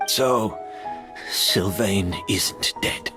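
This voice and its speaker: A man playing a proud, weary older master perfumer turned unrepentant culprit in a video game, slow and wry in manner. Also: fairly low pitch. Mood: wry resignation. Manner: slow, deliberate, theatrical, wry. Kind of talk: dramatic video game voice acting. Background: background music.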